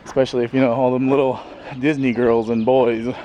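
A man's voice: medium pitch, flat delivery, brisk, muffled recording. Guy in his 30s rambling to his camera outside, lots of uhs, bit of outdoor noise. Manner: rambling, many fillers, chuckles often. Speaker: vlogger. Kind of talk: casual rambling vlog. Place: outdoors in a sunny backyard. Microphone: handheld camera at arm's length. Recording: faint outdoor background noise.

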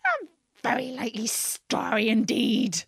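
Shrill female pigeon, storybook character, agitated, scolding, suspicious, very high pitch, wildly varied intonation. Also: huge pitch swings, takes it slowly, a bit bright, no echo, clean studio sound, full character voice. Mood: scornful. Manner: indignant, exclamatory, complaining.

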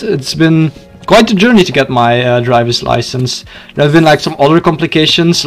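A young man from Belgium with a Dutch accent, casually commenting on a game for his live chat and often tripping over his words. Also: a little high. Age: young adult. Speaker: video game livestreamer.

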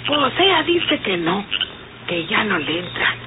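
Anxious, chatty Mexican woman in a radio serial; very animated pitch, heavy noise on a tinny recording. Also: measured pace. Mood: gossipy, confiding. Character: anxious, talkative woman sheltering an outlaw. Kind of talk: melodramatic old Mexican radio serial acting.

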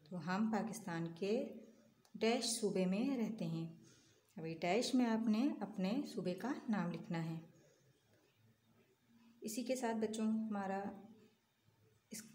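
A woman's voice: medium pitch, slow pace, pauses often, warm tone. A woman teaching young children, patiently asking questions and answering them herself. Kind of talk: online lesson for young children. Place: room at a desk, voice over an open textbook. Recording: echoey room with faint ambience.

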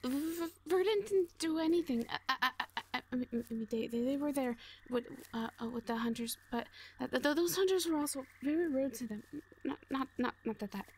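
A woman playing a shy, anxious teenage owl girl in an audio drama, earnest and gentle, with a heavy nervous stammer. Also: high voice, husky. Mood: flustered pleading. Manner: heavy nervous stammer, halting and repeating syllables. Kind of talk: audio roleplay drama acting.

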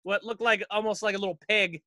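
Guy podcast host, high pitched, very bright forceful voice, playful chat. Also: fairly even intonation, fast talker, no room sound, clean studio sound. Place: home basement.

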